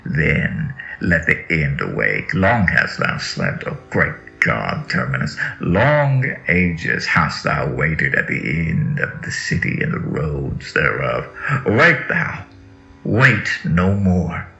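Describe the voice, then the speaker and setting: A man with a deep, gravelly voice gives a solemn, theatrical recitation of scripture, drawing out phrases and swelling with emphasis. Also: very animated, slow, bright, forceful tone. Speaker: reader. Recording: dull lo-fi audio with music underneath. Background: music.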